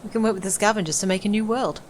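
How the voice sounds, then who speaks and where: A woman's voice: mid-range voice, rapid-fire, lightly acted. A woman playing a hopeful refugee settler looking to a new start in an audio drama. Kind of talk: scripted audio drama acting. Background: faint ambient noise.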